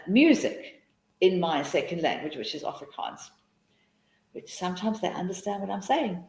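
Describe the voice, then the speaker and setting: A woman's voice: mid-range voice, roomy sound, poor audio. A South African woman hosting a live teaching webinar, answering questions in a chatty, encouraging way with many restarts.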